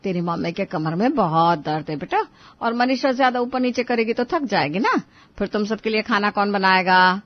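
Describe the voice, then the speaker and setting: A woman voicing a bossy grandmother who coaxes and scolds in an animated moral story. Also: medium pitch, moderate pace, dry recording, lo-fi sound, pitched below the performer's own voice, close to an everyday voice. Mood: coaxing. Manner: coaxing and scolding by turns.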